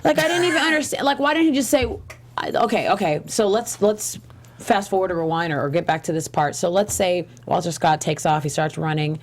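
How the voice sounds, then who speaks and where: A young woman co-hosting a political panel discussion, chatty and animated with lots of exclamations. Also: fairly low pitch, a little fast. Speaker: co-host.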